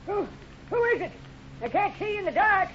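Very high, querulous old-timer. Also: male voice, sing-song, normal speed. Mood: wary, flustered. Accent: American. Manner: querulous, folksy. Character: fussy, flustered old male night watchman. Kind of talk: 1950s radio serial acting. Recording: muffled, noisy old radio recording.

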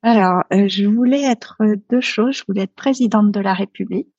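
Guest, woman, 30s, French, halting and reflective, dry slightly dull sound. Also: mid-range voice, a little mellow, slightly rough, dry recording. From France. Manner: hesitant, many fillers and restarts. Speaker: podcast guest. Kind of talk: casual interview talk.

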